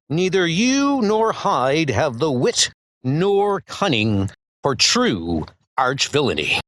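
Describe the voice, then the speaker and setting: A man's voice: on the high side, fairly bright tone, full character voice. A man voicing a heroic, grave thunder god with lofty, formal, declamatory speech in a superhero comic dub. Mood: grave, sorrowful.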